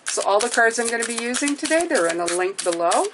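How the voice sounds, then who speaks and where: A woman's voice: on the high side, animated, fast, noisy background. A woman whose first language is French, speaking English with a French accent, gives a casual tarot reading and keeps checking in with "okay?". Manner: chatty, reassuring, often ends with "okay?". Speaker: tarot reader.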